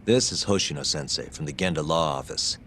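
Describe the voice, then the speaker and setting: Very deep, wry private investigator. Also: male voice, a bit bright, a little hiss or hum. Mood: calm, probing. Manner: dry, sardonic, unhurried questioning. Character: cool, wry male private investigator, former lawyer, unflappable. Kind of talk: English video game dub acting.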